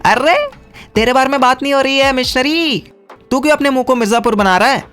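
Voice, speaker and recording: A man's voice: extremely high pitch, fairly quick, fairly bright tone. A man playing a cheeky, over-dramatic younger friend with theatrical delivery in a comic audio drama. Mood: mocking, theatrical. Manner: theatrical, swooping, mock-announcer delivery. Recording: music behind the voice.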